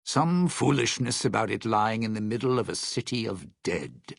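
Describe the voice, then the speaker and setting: An actor playing a grim wasteland storyteller in a video game, with a gravelly voice and a brooding delivery. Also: male voice, on the low side, expressive, moderate pace, dry recording, clean studio sound. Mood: grim, foreboding. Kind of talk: dramatic video game voice acting.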